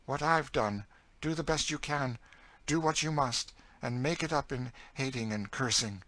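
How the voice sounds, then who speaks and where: A man's voice: medium pitch, normal speed, a bit bright, dry recording, voice pushed up, close to an everyday voice. A man voicing a bitter, despairing, world-weary enslaved woman in an audiobook, with a dry, emphatic delivery. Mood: bitter resignation. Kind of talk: dramatised audiobook reading of a classic novel.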